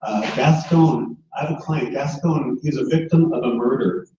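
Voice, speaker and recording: A man running for district attorney, answering at a forum in a quick argumentative way with many fillers. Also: mid-range voice, mellow, a little hiss or hum. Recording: echoey hall, distant muffled mic.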